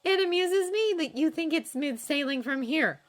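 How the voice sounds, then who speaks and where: A woman's voice: high-pitched, expressive, normal speed, voice pushed up. A woman voicing a bossy, teasing young woman who coaches archery, in a fan fiction reading. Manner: teasing, instructive.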